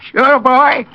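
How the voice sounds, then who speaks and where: Casting: a slow, high, cackling old watchman, folksy, old radio sound. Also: background noise, exaggerated voice. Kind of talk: 1950s radio drama acting. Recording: dull lo-fi old radio recording.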